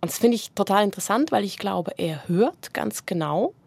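Creaky, husky woman interviewer, close and dry. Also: medium pitch, lively intonation, moderate pace, darkish tone, dry recording. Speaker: interviewer, radio host. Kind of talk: conversational cultural interview.

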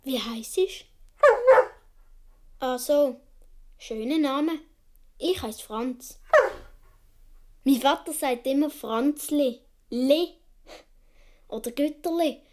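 A woman's voice: high voice, darkish tone. A woman playing a talkative, lonely seven-year-old Swiss boy in a radio play, confiding in a childlike way with a Swiss accent.

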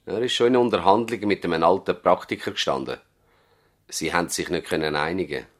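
An actor playing a courteous older country doctor in a radio play, speaking formally with a Swiss German accent. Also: male voice, low-pitched, fast. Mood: collegial, reminiscing. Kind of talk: 1970s radio drama dialogue.

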